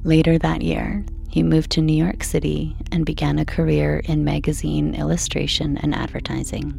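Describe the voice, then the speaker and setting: A woman calmly reading a scripted bedtime narration in a hushed, measured and soothing way. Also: low-pitched, vocal fry, soft background music. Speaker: narrator of a sleep podcast.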